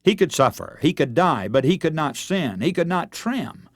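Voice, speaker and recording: A man preaching a dramatic radio sermon in an emphatic, oratorical manner, with rhetorical repetition and deliberate pauses. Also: fairly high pitch, sing-song, no echo, clean studio sound. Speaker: radio preacher and broadcast host.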